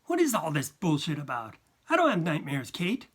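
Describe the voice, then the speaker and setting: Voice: medium pitch, wildly swooping pitch, moderate pace, a bit bright. A narrator voicing an angry, sarcastic ten-year-old boy who snaps indignantly.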